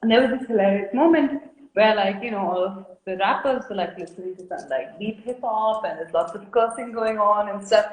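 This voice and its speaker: A woman's voice: mid-range voice, brisk. A woman from India in her thirties, a guest artist chatting frankly and at length on a video call, laughing often. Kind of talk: casual interview chat. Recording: echoey room.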